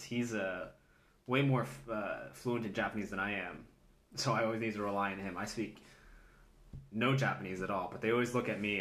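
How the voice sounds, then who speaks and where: A man hosting a casual chat, halting, with many fillers and restarts. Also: deep voice, fairly bright tone, a little hiss or hum. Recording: echoey room.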